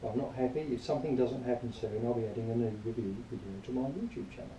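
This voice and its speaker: A man giving an informal spoken review in a rambling, matter-of-fact, grumbling way, partly reading aloud, recorded quietly on a camera some distance away. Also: medium pitch, quick pace, dull audio. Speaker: product reviewer. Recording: distant quiet mic, roomy echo, faint noise.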